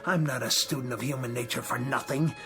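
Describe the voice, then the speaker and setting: An actor playing a pompous, accusatory store owner with haughty, sarcastic, ornate phrasing in radio drama style. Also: male voice, mid-range voice, fairly even intonation, brisk, over music, lightly acted. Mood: smug.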